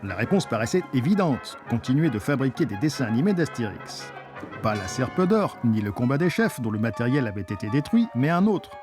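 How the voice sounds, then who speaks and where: A man's voice: medium pitch, a little mellow. A man reading scripted documentary narration. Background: music.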